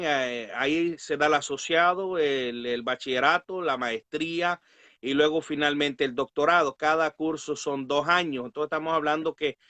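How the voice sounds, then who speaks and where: A man's voice: on the high side, a bit bright, no echo. A man, a pastor and Bible teacher, speaking earnestly and piously in a religious talk, often punctuating it with amens. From Guatemala.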